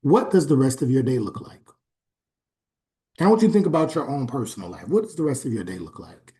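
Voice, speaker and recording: A man giving a recorded class lecture in a conversational way, often checking in with "right?" and pausing on "um". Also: mid-range voice, very animated, mellow. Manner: conversational, frequent "right?" check-ins and "um" pauses.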